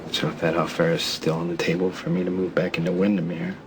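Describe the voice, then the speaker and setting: A man playing a protective, quietly devoted character in a soap opera. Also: deep voice, flat delivery, fast talker, vocal fry. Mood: tender. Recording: loud background noise.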